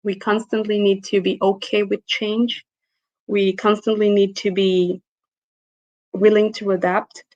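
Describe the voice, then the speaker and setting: A woman's voice: medium pitch, little pitch movement, a little slow, a little mellow, no echo, dull audio. Woman speaking in a dry close voice. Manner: thoughtful, laughs easily, with restarts. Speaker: guest. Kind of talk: interview answers.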